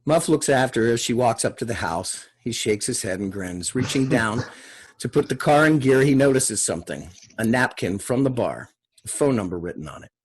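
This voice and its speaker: Casting: male table-read host and narrator, raspy mid-range voice, casual, plain delivery. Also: medium pitch, lively intonation, moderate pace. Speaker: host and stage-direction narrator. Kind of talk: scripted screenplay narration and casual introductions.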